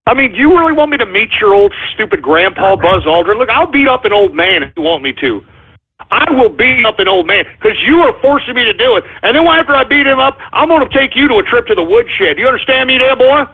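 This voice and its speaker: Brash high-voiced man ranting on air. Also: fast, husky. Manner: brash, insulting, excitable, yells often. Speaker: call-in show host. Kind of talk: loud ranting call-in banter. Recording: tinny lo-fi stream audio.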